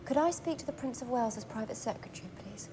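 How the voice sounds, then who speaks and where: An actress playing a lonely, polite young English fiancée of a prince in a screen drama, over background noise. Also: female voice, fairly high pitch, animated, fast talker, warm tone, lightly acted.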